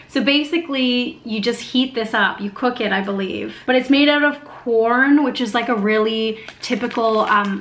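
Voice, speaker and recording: A woman's voice: on the high side, a bit bright, slight background noise. A young woman from North America chatting casually in a vlog, upbeat and talkative, often using "like" as a filler. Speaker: vlogger.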